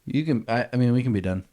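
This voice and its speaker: A man's voice: medium pitch, darkish tone. A young man co-hosting a podcast, chatting loosely and joking with plenty of swearing. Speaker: podcast co-host. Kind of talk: casual chat.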